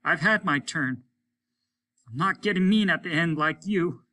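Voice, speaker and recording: Man voicing a boastful spaceman, raised bright voice. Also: on the high side, unhurried, voice pushed up. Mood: indignant, defiant. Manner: indignant, self-satisfied. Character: boastful carefree male spaceman, indignant, clinging to memories. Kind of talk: dramatic audiobook character reading.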